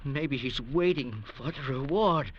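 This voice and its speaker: A man's voice: medium pitch, animated, measured pace, dull audio, a little hiss or hum, lightly acted. An actor playing a frightened, suspicious man stranded on a raft, in 1940s radio acting style. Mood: uneasy.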